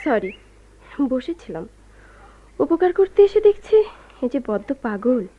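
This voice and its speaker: A woman's voice: high voice, big pitch swings, measured pace, full character voice. An actress playing a helpful but easily piqued young woman, dramatic and urgent, in a radio play. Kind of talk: Bengali radio play acting. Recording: dull, noisy old radio recording.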